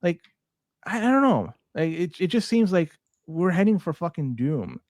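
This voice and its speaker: A man's voice: a little high, very animated, moderate pace, darkish tone, dry recording, studio quality. A man with a Canadian accent co-hosting a podcast in casual, sweary, incredulous rants. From Toronto, Canada. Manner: profane, incredulous, ranting. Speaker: podcast co-host. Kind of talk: casual, unscripted podcast banter.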